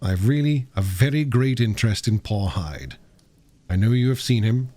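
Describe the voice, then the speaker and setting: A narrator, a man, plays a troubled gentleman doctor from a Victorian novel. He is formal and earnest, guarding a secret, in a low, husky voice that moves expressively. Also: lively intonation, normal speed. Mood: pleading. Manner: pleading, emphatic, formal.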